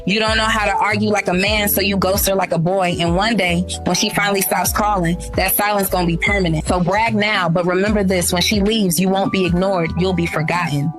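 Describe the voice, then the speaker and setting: A woman delivering a scripted rebuttal straight to the listener, admonishing in measured phrases. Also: mid-range voice, fairly even intonation, fairly bright tone, soft background music. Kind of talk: scripted direct-address rebuttal.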